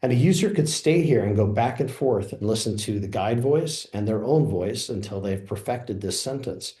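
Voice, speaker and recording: A man with a low-set, mellow voice gives a steady, explanatory walkthrough of an app, pausing to correct himself now and then. The sound carries a noticeable room echo. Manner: steady explanatory narration with small self-corrections. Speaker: product demo presenter. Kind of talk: screen-share software walkthrough.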